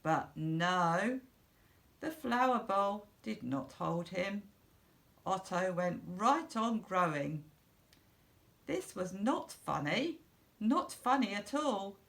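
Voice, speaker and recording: A middle-aged woman reading a children's storybook aloud in a deliberate, expressive way for young listeners. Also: mid-range voice, vocal fry. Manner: slow, deliberate, simple phrasing for young children. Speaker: school storyteller.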